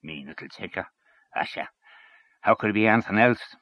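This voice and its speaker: A man's voice: fairly low pitch, brisk, no echo, lo-fi sound, natural voice. A man voicing a blustering, scornful middle-aged man who grumbles and gossips.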